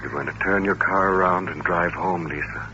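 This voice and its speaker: An actor playing a bitter, lonely mutant with hypnotic powers in an old-time radio drama, intense and commanding. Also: male voice, low-pitched, fairly even intonation, normal speed, highs cut off, lightly acted. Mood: resigned, commanding. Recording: noisy, band-limited vintage radio recording.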